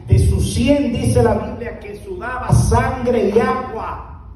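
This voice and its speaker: A man preaching an impassioned sermon to a congregation, shouting and drawing out words for emphasis. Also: very high-pitched, fairly even intonation, slow, slight background noise. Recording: very echoey, from far off.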